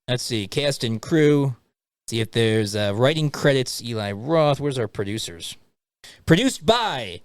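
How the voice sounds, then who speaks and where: A man's voice: fairly high pitch, dry recording. A man hosting a podcast, chatting casually and animatedly about movies and often repeating what others say. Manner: animated, quizzes co-hosts and repeats their answers. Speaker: podcast host. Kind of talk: casual movie-review chat.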